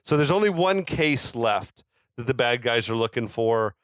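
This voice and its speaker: A man's voice: medium pitch, animated, moderate pace, a bit bright, smooth, no room sound. A man co-hosting a podcast, chatting casually about film and telling stories in profane, slangy language. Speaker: podcast co-host.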